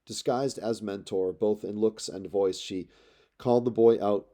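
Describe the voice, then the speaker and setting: Mellow, low-voiced male storyteller. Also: steady tone, measured pace. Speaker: audiobook narrator. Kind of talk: dramatic literary read-aloud of epic poetry.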